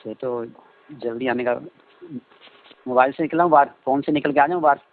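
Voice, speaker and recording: Male actor for a coaxing, flirtatious stepson in a phone-call drama; casual, mid-pitched, narrow telephone sound. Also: normal speed, natural voice. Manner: suggestive, coaxing, hesitant. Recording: tinny narrow-band phone line.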